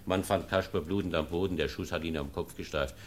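Guy acting a stern sceptical baron, low voice, dry and formal, period radio drama. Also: fairly even intonation, a little hiss or hum, close to an everyday voice. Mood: recounting. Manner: dry, formal, measured educated speech. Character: sceptical aristocratic baron, stern guardian of a foundling. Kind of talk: German radio play acting, period dialogue.

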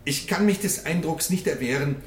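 An actor playing an eloquent, combative defence lawyer with a formal, rhetorical, needling manner in a radio courtroom drama. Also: male voice, fairly high pitch, moderate pace, close to an everyday voice. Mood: accusing. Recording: echoing courtroom, faint background noise.

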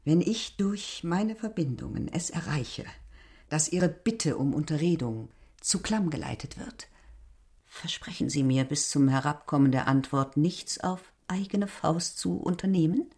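A woman's voice: low voice, unhurried, a little mellow, husky, heavily acted. An actress playing a proud, domineering village landlady in a literary radio drama, speaking in a formal, condescending way. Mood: bargaining.

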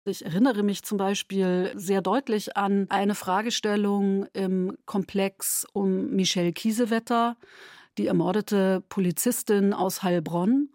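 Measured woman with a mid-pitched voice. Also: steady tone, darkish tone, no echo, clean studio sound. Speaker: radio drama editor. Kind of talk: explanatory interview statement.

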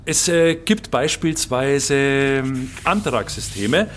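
A man's voice: medium pitch, expressive, takes it slowly, a bit bright, slight background noise. A man playing a pompous, fussy heritage official who talks in stilted, hesitant, bookish officialese in a drama. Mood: pedantic, regretful.

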